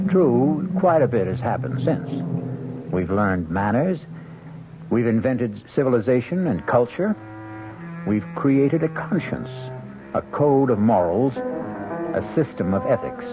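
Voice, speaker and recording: A man playing a grave, philosophical host, narrating a macabre radio drama in a deliberate, portentous manner. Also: medium pitch, expressive, close to an everyday voice. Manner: deliberate, portentous, rhetorical questioning. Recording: dull 1970s radio recording, music behind.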